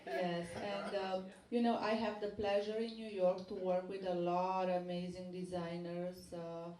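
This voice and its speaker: A woman's voice: fairly low pitch, little pitch movement, moderate pace, warm tone. A woman from Romania with a Romanian accent, speaking English as her second language as a guest on a talk show, hesitant with many fillers and restarts. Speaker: model and magazine guest. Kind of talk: studio talk show interview. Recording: echoey studio, distant mic, background noise.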